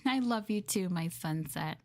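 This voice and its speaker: A woman's voice: on the low side, expressive, takes it slowly. A woman voicing an affectionate, playful slime girl girlfriend in an intimate, teasing ASMR roleplay. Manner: intimate, doting, coy. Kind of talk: ASMR roleplay script reading.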